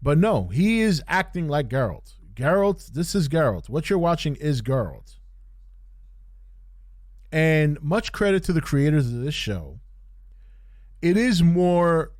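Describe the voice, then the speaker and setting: A man hosting a podcast, giving casual unscripted review commentary in a halting way, repeating phrases for emphasis. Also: a little high, a little mellow, no room sound, studio quality. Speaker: podcast host reviewing TV shows.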